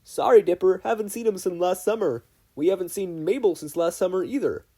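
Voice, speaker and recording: A man puts on a laid-back, chatty fantasy creature for a story reading. The voice is pushed up high and stays casual and breezy. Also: brisk, mellow.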